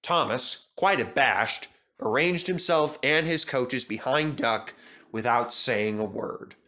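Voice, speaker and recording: A man's voice: mid-range voice, slow, pushed and bright. A man narrating a children's story from a script as a storybook reader.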